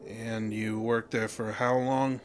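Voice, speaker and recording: An actor playing a casual, quietly suspicious police investigator in an audio drama, questioning in an offhand, hesitant way. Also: male voice, fairly low pitch, flat delivery, slow pace, slight background noise. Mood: probing, casual.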